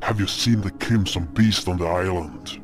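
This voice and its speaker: A man voicing an angry, bullying furry beast who barks harsh, shouted orders. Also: deep voice, steady tone, moderate pace, loud music behind the voice, natural voice. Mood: aggressive.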